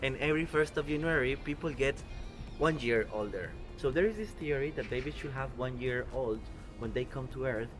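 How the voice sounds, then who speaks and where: 20s guy from costa rica, earnest careful english, clear music bed behind. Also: mid-range voice, normal speed. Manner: earnest, careful non-native English with small grammar slips. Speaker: vlogger. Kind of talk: explanatory travel vlog. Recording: music playing underneath.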